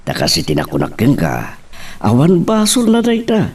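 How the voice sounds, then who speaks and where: A man's voice: mid-range voice, huge pitch swings, normal speed, slightly rough, far from the mic. A man acting a fatherly middle-aged character who counsels his grown children, with an Ilocano Filipino accent. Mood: coaxing, animated. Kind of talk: Ilocano audio drama acting.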